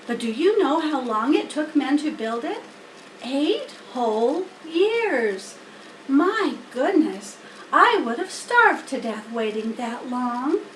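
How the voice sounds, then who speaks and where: Storybook spider, acted by a woman: female, wise, plain-spoken, instructive, high voice, roomy distant recording. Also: very animated, slow pace, heavily acted. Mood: wry, opinionated. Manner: matter-of-fact, instructive. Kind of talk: children's storybook character reading. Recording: roomy, distant mic, faint noise.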